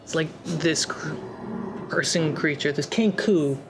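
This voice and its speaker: A game master improvising descriptive narration for a tabletop fantasy game in halting phrases. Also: medium pitch, music in the background.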